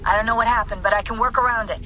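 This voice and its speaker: An actress playing a calm female technician who talks in a brisk, matter-of-fact way. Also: a little high, poor audio. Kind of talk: video game cutscene acting.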